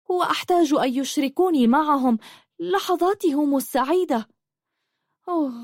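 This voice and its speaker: Storybook princess, young, lonely, high and plaintive, hesitant delivery, very close dry sound woman reader performing. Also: darkish tone, no echo. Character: sad, beautiful young princess, shy and lonely.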